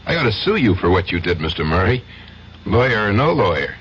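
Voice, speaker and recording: A man's voice: fairly low pitch, very animated, fairly quick, a bit bright. An actor playing a slippery, aggrieved male murder suspect in a radio drama, plaintive and accusing. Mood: indignant, accusing. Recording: dull old radio broadcast, faint noise.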